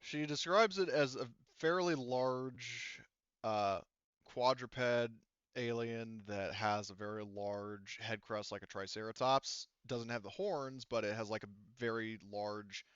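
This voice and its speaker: Male game master, bright and clear voice, halting casual explanations, dry but slightly lo-fi sound. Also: medium pitch, steady tone, no room sound. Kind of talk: casual tabletop game narration.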